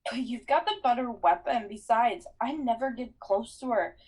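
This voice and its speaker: An audiobook teen girl, clever and commanding, speaks in quick, know-it-all bursts, read by a woman. The voice is high and clear. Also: animated, darkish tone. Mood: urgent. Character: clever, bossy teenage girl. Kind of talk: audiobook character voice.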